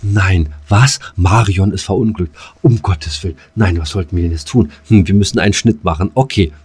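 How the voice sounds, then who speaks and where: A man's voice: sits low, normal speed, darkish tone, breathy edge, voice pushed down. A man playing alarmed doctors in a mock hospital soap opera. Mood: melodramatic alarm.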